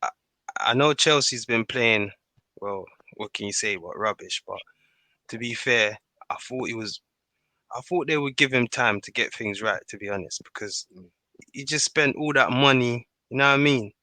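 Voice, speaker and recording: A man's voice: mid-range voice, moderate pace, bright, forceful tone, dry recording. A man giving plain-spoken opinions in a casual football discussion. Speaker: podcast panellist.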